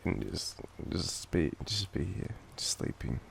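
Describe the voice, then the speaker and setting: A young Australian man with a cold, coughing now and then, murmuring softly and teasingly in an intimate boyfriend roleplay. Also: low voice, expressive, unhurried, creaky, slight background noise. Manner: soft, drowsy, teasing murmur.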